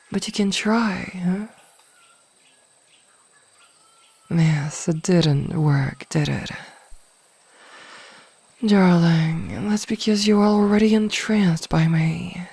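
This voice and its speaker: Woman playing a hypnotic female mage, possessive, condescendingly sweet, lowish pitch, close dry sound, soft coaxing delivery, fantasy ASMR roleplay. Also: slightly rough, no echo, heavily acted. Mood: teasing, confident.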